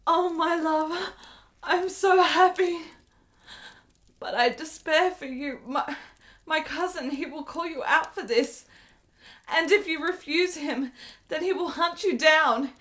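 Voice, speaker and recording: A woman's voice: high-pitched, takes it slowly, fairly bright tone. An actress playing a frail, insecure, devoted woman in a period radio drama, with a hesitant, emotional delivery. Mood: joyful, anxious.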